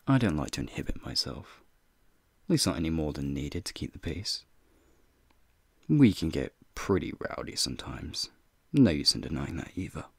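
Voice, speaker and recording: A man voicing a flirty, teasing male werewolf in an ASMR roleplay, with a deep, gravelly, husky voice and folksy slang. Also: no echo, full character voice. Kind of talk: ASMR roleplay, speaking to the listener.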